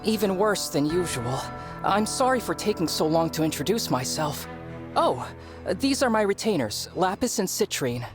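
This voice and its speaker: A formal, high-strung young prince for a video game cutscene. His pitch moves around a great deal as he speaks, and music plays clearly behind him. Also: sing-song, moderate pace. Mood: sheepish, formal. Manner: formal, self-deprecating. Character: anxious, apologetic young prince, stern when guarded.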